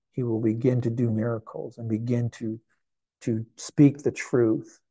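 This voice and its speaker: A man's voice: medium pitch, gravelly, dark tone, breathy edge, no echo. A man in his sixties preaching a sermon to camera in a church, earnest and reflective, often repeating phrases. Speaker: pastor. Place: indoor church sanctuary.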